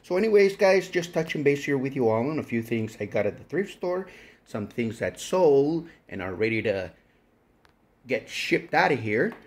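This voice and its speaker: A man's voice: mid-range voice, sing-song, moderate pace. A man hosting a casual reseller vlog, rambling in a folksy way and addressing viewers as guys. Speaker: online reseller and channel host. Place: indoor workshop.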